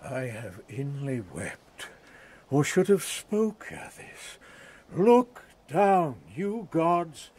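Man acting husky, high-voiced devout old courtier. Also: dark tone, heavily acted. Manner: declamatory, heartfelt. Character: devout, joyful old courtier, tearful and reverent. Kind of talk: Shakespearean audio drama acting.

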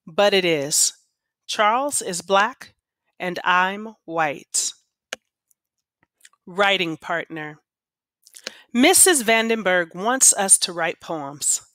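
A woman teacher reading aloud to students with an expressive, deliberate storytelling delivery. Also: medium pitch, dry recording. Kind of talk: expressive read-aloud of children's poems and class instructions.

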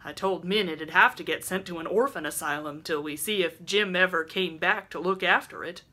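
A woman's voice: on the low side, normal speed, bright, forceful tone. Woman putting on a coarse, callous old country woman. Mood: callous, candid. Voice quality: grunting. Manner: rustic dialect, blunt and truculent.

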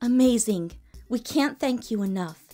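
A woman's voice: on the high side, expressive, a little slow, warm tone, faint music behind. A woman voicing an earnest, bookish young female magical pony in a cartoon comic dub, speaking precisely and urgently. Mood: determined.

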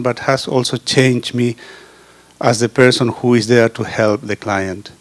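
Earnest man. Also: medium pitch. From Chile. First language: Spanish. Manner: earnest, halting, with pauses and restarts. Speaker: osteopath giving a testimonial. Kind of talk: reading a prepared personal speech. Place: indoor meeting room. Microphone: handheld microphone.

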